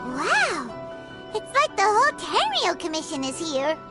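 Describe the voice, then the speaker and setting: A tiny floating companion chatters in a very high, squeaky voice that leaps wildly up and down. She is excitable and full of quick, curious questions. Game music plays behind her. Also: exaggerated voice. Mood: excited. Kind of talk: game voice acting.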